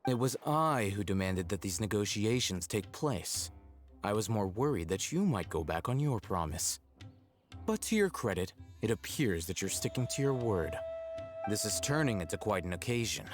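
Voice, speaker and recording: Cool scholarly strategist, lowish male voice, composed, calculating, articulate, game dialogue over music. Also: big pitch swings, moderate pace. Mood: coolly confident.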